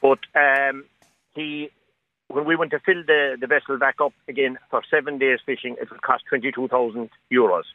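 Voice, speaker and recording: A man's voice: medium pitch, steady tone, normal speed, no room sound. A man, a fishing boat owner, calling in to a radio show and speaking hesitantly with many fillers and restarts. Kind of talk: phone-in radio interview. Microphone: telephone. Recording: tinny dry phone line.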